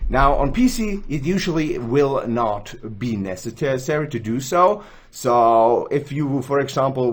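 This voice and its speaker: A young man with a Swiss accent talks through a screen-recorded tutorial in a chatty, animated way. His pitch jumps around a lot as he gestures and jokes at himself. He sits at a desk mic in a small room. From Switzerland. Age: young adult. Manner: chatty, self-joking, gestures while explaining. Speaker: gaming tools channel host. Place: indoors, small room, gaming chair.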